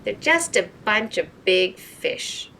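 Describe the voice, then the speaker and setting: A woman's voice: medium pitch, very animated, takes it slowly, vocal fry, bright, forceful tone, a little hiss or hum, voice pushed up, heavily acted. A woman voicing an idle, money-minded man in a storybook, with an offhand, dismissive manner.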